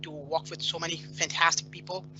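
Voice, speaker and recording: A man from Pakistan introducing himself on a video call, friendly and relaxed. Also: on the high side, fairly quick, noisy background. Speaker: guest. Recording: dull video call audio.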